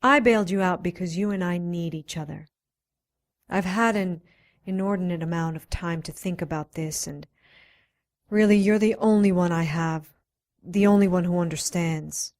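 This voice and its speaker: A woman's voice: lowish voice, normal speed, a little mellow, close to an everyday voice. A woman playing a self-assured, teasing doctor of magic and science in a radio drama, flirtatious and persuasive. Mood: coaxing.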